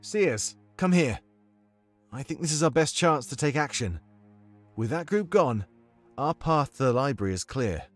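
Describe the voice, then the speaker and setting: Man voicing a lively, resolute rebel leader. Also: medium pitch, unhurried, pauses often, faint music behind, voice pushed up. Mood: commanding. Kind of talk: visual novel character voice.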